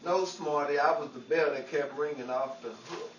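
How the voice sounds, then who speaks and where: Echoey n noisy, guy doing a eager wisecracking radio comedy sidekick, kinda high voice, always angling for a part. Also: normal speed, lo-fi sound, close to an everyday voice. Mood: cheeky, wisecracking. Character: hapless, eager, wisecracking show-business hopeful, a man. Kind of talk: old-time radio comedy acting. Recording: echoey, distant, noisy amateur recording.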